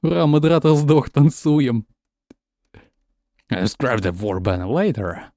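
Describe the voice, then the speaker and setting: A man's voice: medium pitch, expressive, measured pace, dark tone, no room sound, clean studio sound. Stammering man chatting on stream. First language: Russian. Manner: stammers, repeats words; switches between English and Russian. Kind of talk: casual gaming stream chat.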